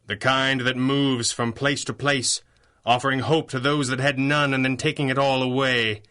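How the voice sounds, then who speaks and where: Guy doing an old-time radio masked hero, sharp clear-cut delivery, stern and drily mocking. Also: mid-range voice, little pitch movement, normal speed, lightly acted. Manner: articulate, mocking, righteous. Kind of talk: 1930s pulp radio drama acting.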